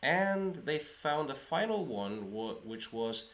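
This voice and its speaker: Slow, lively-voiced Norwegian-raised man. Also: medium pitch. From Norway. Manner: measured, explanatory, thinking aloud. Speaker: psychotherapist. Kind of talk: solo video lecture.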